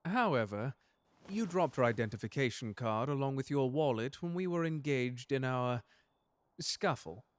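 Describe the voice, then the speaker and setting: A man acts out a gentleman butler for an audio drama. He is formal and precise in his wording, unflappable and courteous, with menace sitting quietly underneath. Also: mid-range voice, animated, moderate pace, no room sound, lightly acted. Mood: smooth menace. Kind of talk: character audio roleplay drama.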